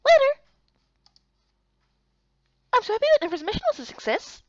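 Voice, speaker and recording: A woman giving an expressive scripted voice-over of a visual novel. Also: very high-pitched, very fast, no room sound, lo-fi sound.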